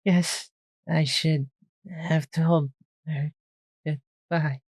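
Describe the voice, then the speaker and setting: A man's voice: fairly high pitch, steady tone, racing, dry recording, voice pushed up, lightly acted. A tough, middle-aged female pony fighter, voiced by a man, speaks in a lifted, tense voice. The recording is close and dry. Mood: fading. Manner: urgent, then faint and gasping.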